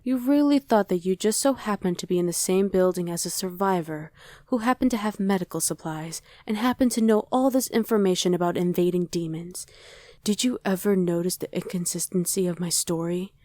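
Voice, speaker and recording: Woman acting as a smug, mellow-voiced she-demon. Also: lowish voice, fairly quick, no echo, close to an everyday voice. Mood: scornful, impatient. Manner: haughty, taunting. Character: smug female shapeshifting crossbreed demon, scornful of mortals. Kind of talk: ASMR roleplay acting.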